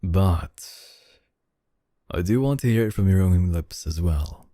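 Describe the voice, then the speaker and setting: A man playing a suave, dominant, flirtatious CEO in an intimate ASMR roleplay, speaking in a teasing, deliberate way. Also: expressive, warm tone, dry recording, clean studio sound. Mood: teasing, controlling. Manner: slow, teasing, deliberate, with low chuckles. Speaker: ASMR voice actor.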